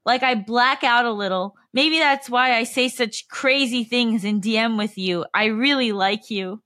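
Obsessively lovesick female texter, read by a woman: high, bright, dramatic, clingy, dry close sound. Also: no room sound. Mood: lovesick pleading. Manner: dramatic monologue with drawn-out, list-like delivery.

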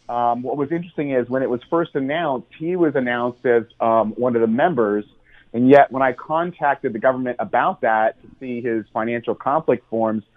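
An American man, a journalist guest, explaining at length in an earnest way, with many ums and restarts, speaking over the phone on talk radio. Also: mid-range voice. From United States. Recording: tinny phone line.

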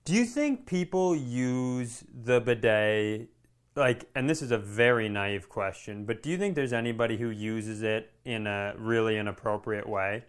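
A man with a smooth, clear voice of average pitch chats casually as a podcast co-host. He keeps his remarks short and dry, reacting and asking questions. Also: animated, unhurried. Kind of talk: casual chat.

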